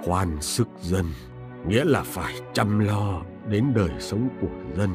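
A wise old grand commander from a cartoon history tale. The voice is very low, solemn and measured, like counsel given to a court, with music clearly behind it. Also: male voice, lively intonation. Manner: grave, measured, instructive.

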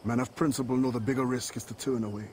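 A man's voice: medium pitch, fairly even intonation, fast, a little mellow, slight background noise, close to an everyday voice. A man playing a stern, formerly enslaved assassin and sea captain in a video game, speaking in a grave, formal way. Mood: earnest, calm. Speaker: video game character actor. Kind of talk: dramatic cinematic game acting.

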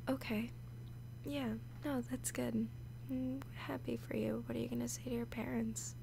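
A woman playing a nervous, awkward, obsessively lovesick young woman in an intimate audio roleplay, halting and often slipping into whispers. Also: on the high side, little pitch movement, vocal fry, noisy background. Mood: subdued, apologetic. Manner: halting, hesitant, breaking into whispers.

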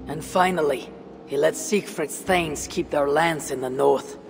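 An actress playing a calm, direct Norse warrior woman in a video game cutscene. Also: low-pitched, measured pace, vocal fry, in a large hall, slight background noise. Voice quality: plain, direct.

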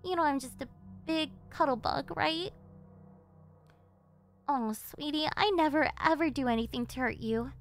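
A high-pitched yandere delinquent girlfriend, acted by a woman for an ASMR audio roleplay. She is clingy and doting, pleading sweetly with a possessive, threatening edge. Music plays behind her voice. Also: a little slow, pauses often. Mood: doting, wistful. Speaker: voice actress.

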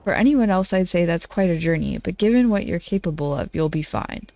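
Woman doing a gruff blademaster mentor. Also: lively intonation, mellow, no room sound. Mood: proud. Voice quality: nasal, congested. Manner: lowered, measured reading of game dialogue.

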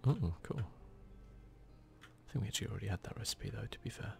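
A man's voice: on the low side, animated, normal speed, creaky. A man with a British accent giving casual, whispered ASMR commentary as he plays a video game. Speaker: gaming video host.

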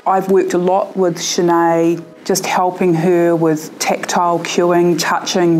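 A woman mentor speaking in an even, matter-of-fact way in an interview. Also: fairly low pitch. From New Zealand. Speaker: fitness mentor.

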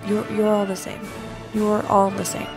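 A woman playing an earnest, headstrong high school girl in a radio play. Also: completely flat delivery, normal speed, music in the background, lightly acted. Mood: rehearsing, unsure.

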